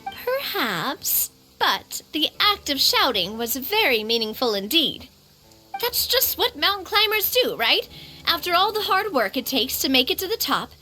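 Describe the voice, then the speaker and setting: A woman's voice: extremely high pitch, sharp tone, heavily acted. A woman voicing an exuberant, confident young lady boss in a video game, bubbly, emphatic and theatrical. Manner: bubbly, emphatic, self-assured. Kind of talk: animated video game dialogue.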